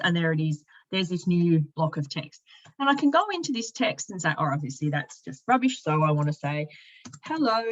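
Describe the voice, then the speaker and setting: A woman with a fairly low voice presents a software tutorial in a conversational way. She thinks aloud and explains each step as she goes. The recording is a little dull and lo-fi. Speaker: software demo presenter, sales and marketing director. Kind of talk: conversational screen-share product tutorial.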